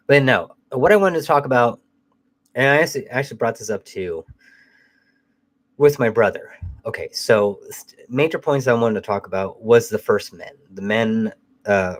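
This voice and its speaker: A man hosting a casual live chat while fighting a cold, sounding nasal, rambling loosely and swearing now and then. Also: fairly low pitch. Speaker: host.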